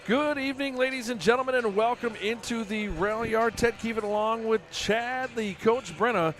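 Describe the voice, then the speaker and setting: A man hosting a local high school basketball broadcast, chatty and casual with many fillers. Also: normal speed, fairly bright tone. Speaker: play-by-play broadcaster.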